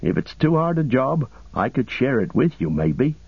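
A man's voice: mid-range voice, big pitch swings, moderate pace, slightly rough, no room sound, dull audio, pitched above the performer's own voice. A man voicing a loyal, humble hobbit servant in an audiobook, plain-spoken and deferential, with homely rustic phrasing. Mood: hesitant, caring.

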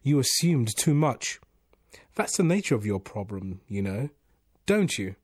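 A man's voice: lowish voice, sing-song, moderate pace, darkish tone, dry recording, studio quality. A man voicing a scornful, teasing bodiless spirit who fires off riddling questions in a storybook. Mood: harsh, mocking. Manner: scoffing, teasing, rhetorical questions. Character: mocking, laughing, bodiless male voice, scornful riddler.

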